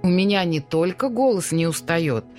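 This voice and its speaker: Woman, stage speech teacher, lowish voice, lively intonation, radio interview. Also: moderate pace, soft background music. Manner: demonstrative, explains while showing voice techniques.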